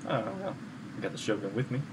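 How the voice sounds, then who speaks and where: A man's voice: lowish voice, very animated, fast talker, very noisy. A man streaming a video game with offhand, casual reactions. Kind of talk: offhand gameplay commentary, reading choices.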